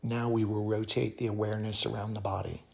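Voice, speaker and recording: Low-voiced man guiding a meditation. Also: vocal fry. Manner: calm, soothing, measured phrasing with pauses. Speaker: yoga teacher guiding meditation. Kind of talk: guided meditation.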